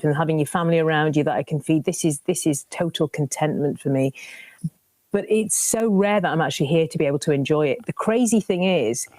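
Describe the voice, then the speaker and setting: A woman, a cookery presenter telling stories in an animated way with many restarts during a radio interview. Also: sits low, dry recording. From Lancashire, England. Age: 50s.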